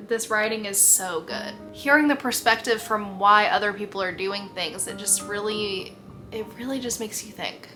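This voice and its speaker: A young woman chatting casually in a reading vlog, expressive and full of "like", losing her voice. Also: medium pitch. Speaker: book vlogger.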